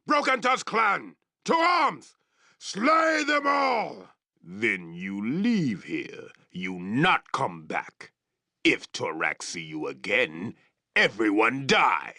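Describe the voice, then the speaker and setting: An actor playing a savage, proud orc chieftain in a fantasy video game, growling threats in blunt, broken English. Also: male voice, medium pitch, big pitch swings, slow, bright, forceful tone, no echo, studio quality, full character voice. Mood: roaring, furious. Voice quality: gravelly growl. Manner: broken, blunt English with barked war cries.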